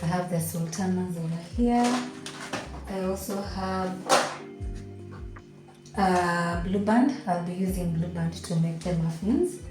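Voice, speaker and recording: A woman with a low, croaky morning voice chats easily as she walks through her kitchen, pointing things out one by one. The sound is roomy and echoey, with soft music playing underneath. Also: slow pace, long pauses. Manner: relaxed, chatty, explains as she goes. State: croaky, deep just-woken voice. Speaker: mother and home vlogger. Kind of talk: casual vlog narration. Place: home kitchen. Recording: roomy echo with faint background music.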